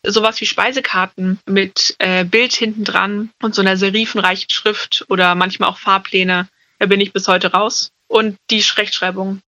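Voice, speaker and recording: A woman in her twenties, a student, speaking calmly and thoughtfully about her own experiences in an interview. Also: medium pitch, normal speed, hardly any pauses. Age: 20s. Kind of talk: personal testimony.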